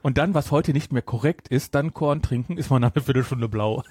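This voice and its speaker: A middle-aged man hosting a podcast in casual, bantering chat, quick to interrupt. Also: mid-range voice, darkish tone, husky, no echo. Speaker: podcast host.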